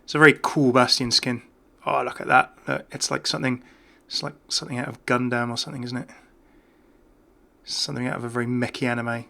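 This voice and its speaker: A man's voice: mid-range voice, little pitch movement, a little fast, gravelly, bright, forceful tone. A man giving casual, off-the-cuff video game commentary in a chatty, enthusiastic way. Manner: chatty, enthusiastic about small details.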